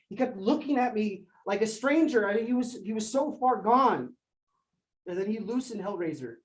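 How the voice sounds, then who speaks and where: A man's voice: high voice, darkish tone, close to an everyday voice. An actor playing a shaken young man who stammers as he retells a violent fight, in a stage play. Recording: roomy, distant from mic.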